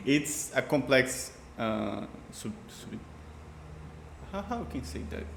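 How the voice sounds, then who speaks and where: Brazilian male reactor, Portuguese accent, hesitant and casual, gravelly tone, roomy sound with faint noise. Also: medium pitch, big pitch swings. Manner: halting, searching for words. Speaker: reaction video host.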